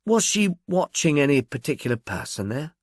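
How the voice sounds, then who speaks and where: A man's voice: a little high, very animated, normal speed, no echo, studio quality. A man voicing a polite, inquisitive male police investigator in an audiobook.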